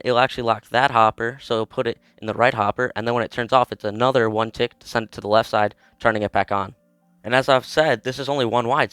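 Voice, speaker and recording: A man's voice: on the low side, fairly bright tone, clean tone, no room sound. A young man with a cold, casually walking through a step-by-step tutorial in a chatty, informal way. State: has a cold. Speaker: video creator explaining a game build.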